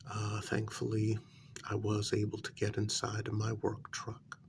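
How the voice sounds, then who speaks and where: Man acting nervous workman, lowish voice, soft ASMR delivery, hesitant, deferential, faint noise behind. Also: monotone, gravelly. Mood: uneasy, evasive. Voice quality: soft-spoken. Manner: halting, deferential, with frequent pauses and polite sirs. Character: deferential, nervous night road-crew workman, adult man, hesitant witness. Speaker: ASMR performer. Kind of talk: soft-spoken ASMR role-play, one side of a conversation.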